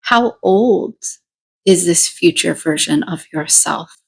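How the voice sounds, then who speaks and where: A woman with a slightly low voice leads a guided meditation at a very slow pace. She gives deliberate instructions in short phrases, leaving pauses between them. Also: creaky, breathy edge.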